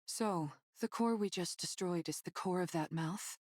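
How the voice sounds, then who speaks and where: A woman's voice: on the low side, a little slow, darkish tone, no room sound, studio quality. A woman playing a composed, playfully amused young female fighter in a game cutscene, wry and self-assured. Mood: thoughtful. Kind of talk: English game cutscene dub acting.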